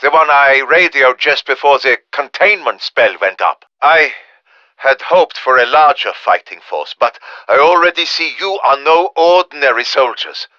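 An actor playing a grave, weary, middle-aged German professor in a video game, with a German accent, speaking in measured, solemn, formal phrases as in a dramatic memoir. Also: male voice, medium pitch, lively intonation, pushed and bright, no echo, lo-fi sound. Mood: formal, urgent. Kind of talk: dramatic scripted audio memoir.

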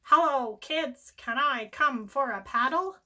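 A woman acts out a friendly storybook animal, eager to join in. The voice is high and bright, calling out with excitement. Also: slow, full character voice. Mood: cheerful, asking.

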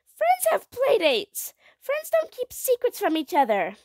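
Young girl for a storybook, woman performer: high-pitched, animated, emphatic, forever pleading her case. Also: a little slow, no room sound, clean studio sound, full character voice. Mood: hurt, pleading. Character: pleading, passionate young girl who wants a friend.

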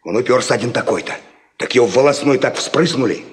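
An actor playing an evasive, cocky young peasant farmhand in a 1950s radio drama, cajoling in folksy speech. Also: male voice, mid-range voice, expressive, quick pace, noisy background, close to an everyday voice. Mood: evasive, protesting. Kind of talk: 1950s Soviet radio play acting.

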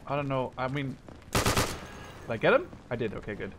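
A man in his twenties giving casual gameplay commentary, excitable and rambling, with frequent swearing. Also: medium pitch, normal speed, gravelly. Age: 20s. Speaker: video game streamer. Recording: gunfire and game noise behind voice. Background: video game gunfire.

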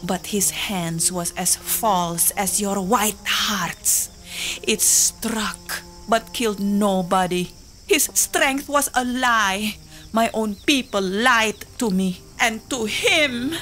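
An actress playing a proud, desperate young native woman in a full-cast audio drama, speaking in slow, poetic, impassioned pleas. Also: medium pitch, a bit bright, faint music behind. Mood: bitter.

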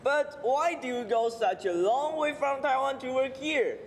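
A man's voice: very high-pitched, unhurried, a little hiss or hum. A young man playing a Taiwanese student in a school stage skit, speaking earnest, carefully recited English. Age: young adult. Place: large auditorium stage. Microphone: stage floor mics from afar. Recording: hall reverb with faint music.

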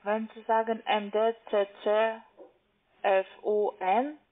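Female call centre agent, non-native speaker, halting delivery, medium pitch, narrowband phone sound. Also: little pitch movement. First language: not German. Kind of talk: phone sales call, step-by-step instructions. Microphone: telephone. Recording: muffled narrowband phone line.

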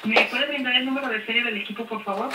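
A woman's voice: on the high side, fast. A woman speaking politely and formally as a technical support agent on the phone. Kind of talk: formal customer service phone call. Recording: distant echoey phone line with noise.

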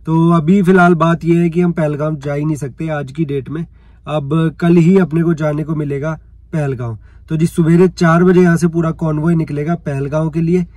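A man's voice: a little high, steady tone, normal speed, a little mellow, dry recording. Chatty man filming a road trip. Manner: chatty, keeps saying "so ji" and "dekh sakte ho". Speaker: travel vlogger. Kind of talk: handheld travel vlog narration. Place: outdoors and at a pilgrim rest house.

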